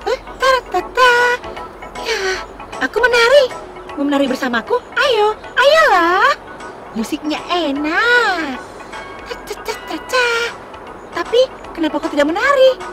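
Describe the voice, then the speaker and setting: A woman's voice: very high voice, sing-song, unhurried, pauses often, exaggerated voice. A woman voicing a playful, friendly young car in a children's cartoon dub, with a chirpy, childlike, exclamatory way of talking. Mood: playful, cheerful. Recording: loud music behind.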